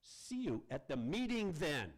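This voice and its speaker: A man's voice: a little high, very animated, measured pace. Man playing a wildly swooping herald of hell. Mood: grandly ominous. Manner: theatrical, rhyming, declamatory. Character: male herald of hell, florid messenger summoning damned souls. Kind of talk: amateur radio theater acting.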